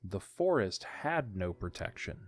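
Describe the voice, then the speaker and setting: A man's voice: on the low side, big pitch swings, measured pace. A man narrating an audiodrama as scripted story narration.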